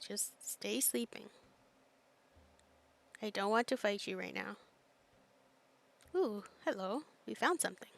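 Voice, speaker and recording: A woman's voice: mid-range voice, expressive, measured pace, gravelly, long pauses. A woman giving casual, playful live commentary as she plays a video game, dropping in cutesy little interjections. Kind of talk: casual gameplay commentary, chatting to herself.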